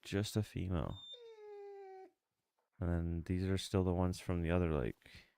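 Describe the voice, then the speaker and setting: A man's voice: low-pitched, fairly even intonation, unhurried, vocal fry, dark tone, smooth. A congested, sniffly man in his mid thirties chatting casually as he livestreams a game, close on the mic. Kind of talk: casual livestream chat with gameplay commentary. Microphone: close streaming microphone.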